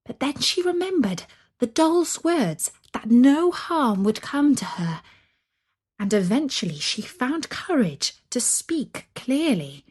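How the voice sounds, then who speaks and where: Very slow, breathy woman storyteller. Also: sits high. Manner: expressive, measured. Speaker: storyteller, narrator. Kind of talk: scripted children's scary story narration.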